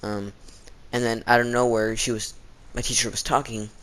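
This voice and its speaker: A man's voice: medium pitch, little pitch movement, moderate pace, fairly bright tone. A teenage male vlogger chatting casually as he tells a rambling story, acting out the people he quotes. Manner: rambling, acts out quoted exchanges.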